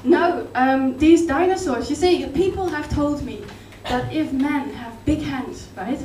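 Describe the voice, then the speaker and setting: A woman with a Dutch accent performing a rambling, hesitant stand-up set in a deadpan, absurd way. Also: high-pitched, a little slow, roomy sound, recorded from a distance, slight background noise. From Netherlands. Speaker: stand-up comedian. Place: comedy club stage. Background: audience laughter.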